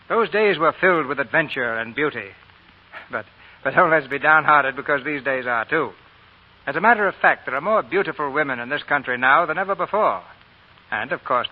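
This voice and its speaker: A man narrating as a 1940s radio host in polished, dramatic scripted phrasing. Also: mid-range voice. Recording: muffled old 1940 radio broadcast.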